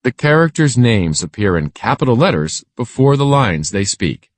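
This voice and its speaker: A man reading a scripted children's lesson aloud in a measured, instructional way. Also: medium pitch, big pitch swings, dry recording.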